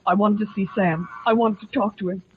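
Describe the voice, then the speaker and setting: An actress playing a scheming wife in an old radio drama, theatrical and gushing, feigning tragic love. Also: female voice, mid-range voice, steady tone, fairly quick, natural voice. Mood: plaintive. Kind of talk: 1940s radio acting. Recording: muffled old radio recording, faint noise.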